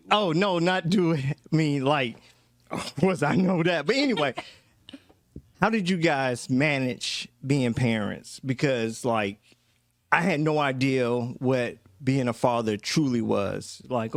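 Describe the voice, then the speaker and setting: A man in his thirties hosting a casual family podcast, talking in a relaxed, reflective way with fillers and easy laughs. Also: mid-range voice, dry recording, studio quality. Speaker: podcast host and father. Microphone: studio microphone.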